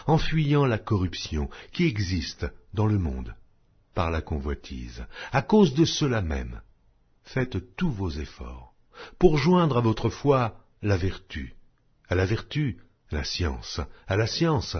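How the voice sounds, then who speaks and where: A man giving a measured, solemn scripture reading, his phrases falling at their ends. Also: low voice, husky, lo-fi sound. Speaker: Bible reader.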